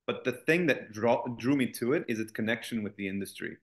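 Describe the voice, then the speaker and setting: A man's voice: on the low side, expressive, fairly quick. A man, a college executive as interview guest, speaking fluently with confident, joking ease. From United States. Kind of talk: interview conversation.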